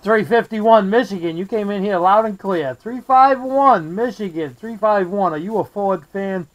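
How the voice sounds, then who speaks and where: A man's voice: sits high, normal speed, poor audio. An American man chatting as a CB radio operator in a friendly manner, with call signs and radio jargon. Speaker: CB radio operator, host of the channel.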